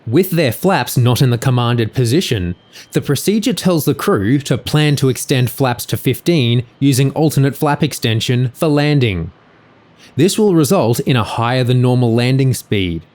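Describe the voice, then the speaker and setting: Male narrator for scripted explainer voice-over, mid-pitched, factual delivery. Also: a little mellow.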